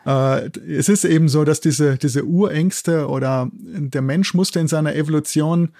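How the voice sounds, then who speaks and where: Middle-aged man, slightly high, mellow voice. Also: a little slow, no echo, clean studio sound. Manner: enthusiastic, often ends phrases with a checking tag. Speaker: guest expert, zoology institute head and sound producer. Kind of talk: explanatory interview talk.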